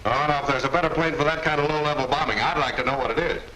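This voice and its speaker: A man's voice: a little high, racing, bright, forceful tone. A man acting in a 1940s war film as a boastful young American airman with a breezy, eager manner. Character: cocky, boastful young American bomber lieutenant, a captive. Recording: noisy 1940s film soundtrack.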